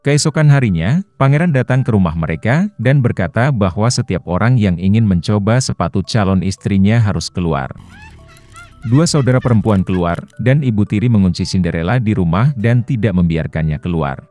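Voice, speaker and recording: A man's voice: lowish voice, animated, moderate pace, mellow. A man reading a scripted fairy tale as a storyteller for children, with music behind him. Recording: roomy echo under faint music. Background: faint background music.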